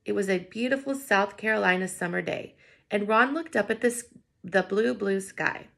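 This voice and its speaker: A woman with heavy vocal fry reads a children's story aloud. She is expressive in her delivery and breaks off now and then to muse aloud like a teacher. Her pitch sits in an ordinary range for a woman. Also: normal speed. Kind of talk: children's picture book read-aloud with wondering asides.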